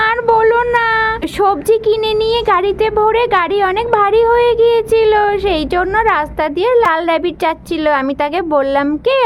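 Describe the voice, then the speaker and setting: A woman's voice: very high voice, pitched above the performer's own voice. A woman voicing a naive, chatty young daughter in a children's doll story, with a plaintive manner.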